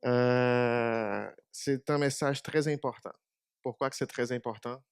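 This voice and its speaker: A man's voice: medium pitch, fairly even intonation, takes it slowly, smooth, no echo, clean studio sound. A man whose first language is Portuguese, giving a short church meditation in a small congregation and asking them questions. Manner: conversational, asks the congregation questions. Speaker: preacher.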